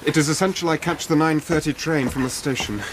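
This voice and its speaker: A courteous, anxious young gentleman played by a male actor in a radio drama. His voice is fairly high and his speech proper and earnest, with clear rain noise around him. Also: fairly quick, lightly acted.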